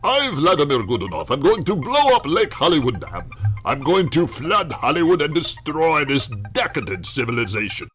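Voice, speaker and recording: A man's voice: a little high, sing-song, moderate pace, fairly bright tone, soft background music. A man playing a pompous, grouchy Russian villain in a campy radio play, with a hammy accent and broken English. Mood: gloating.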